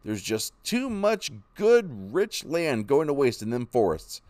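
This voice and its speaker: A man's voice: medium pitch, sing-song, takes it slowly, a little mellow, dry recording, voice pushed up. A man voicing a determined young pioneer father who is set on heading west. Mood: firm. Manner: firm, eager. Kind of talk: storybook reading.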